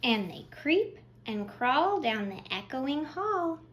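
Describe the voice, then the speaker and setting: Children's storytime reader: woman, high and lively pitch, expressive delivery, addressing little ones, slight noise behind. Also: takes it slowly. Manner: expressive, addressing young children with simple questions and praise. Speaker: children's librarian, storytime host. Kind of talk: children's storytime read-aloud.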